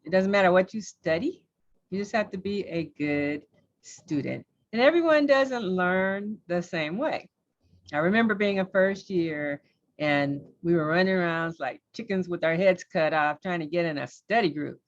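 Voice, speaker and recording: A middle-aged woman from Los Angeles, a judge, telling her career story on a panel in a candid, lively way. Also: lowish voice, moderate pace, dull audio.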